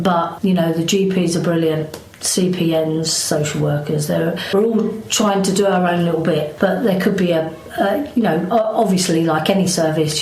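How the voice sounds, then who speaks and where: English woman, charity worker, informal interview answers, slightly low voice, echoey room, faint noise. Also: darkish tone. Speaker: mental health charity worker.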